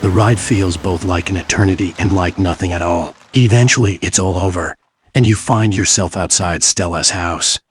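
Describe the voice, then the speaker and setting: A man with a very deep voice reads scripted story narration for a visual novel. There is faint noise behind him. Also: moderate pace.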